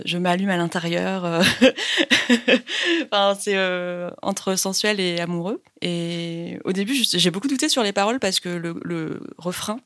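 A woman's voice: fairly low pitch, dry recording, studio quality. A woman singer-songwriter answering interview questions in a hesitant, chatty way, with many fillers and frequent laughs. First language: Spanish.

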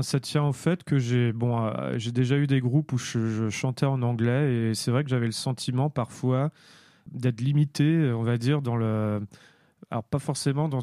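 A man, a musician guest, answering interview questions in a thoughtful, hesitant way with frequent pauses and fillers. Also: mid-range voice, warm tone, no echo, clean studio sound.

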